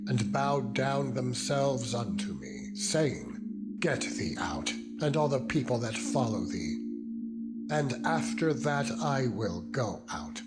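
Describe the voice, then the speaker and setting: A man narrating scripture in a solemn, measured and deliberate dramatized reading style. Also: medium pitch. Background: loud meditative music with ringing tones.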